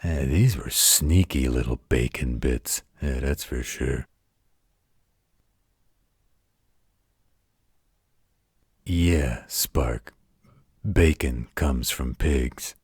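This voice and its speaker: An extremely low, heavily rasping werewolf played by a man, gruff but gentle and protective. He speaks in a folksy, unhurried way, as in a bedtime roleplay audio, close and dry. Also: no echo, full character voice. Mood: patient, amused. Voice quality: deep, gravelly, husky. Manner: folksy, slow, patient drawl with colloquial turns.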